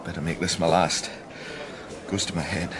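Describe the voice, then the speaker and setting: An actor playing a weary, self-doubting middle-aged psychic detective with a Scottish accent, softly spoken and reflective in a naturalistic radio drama. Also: male voice, low-pitched, steady tone, moderate pace, crowd noise, lightly acted. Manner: hesitant, reflective. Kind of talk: naturalistic radio drama acting with first-person narration.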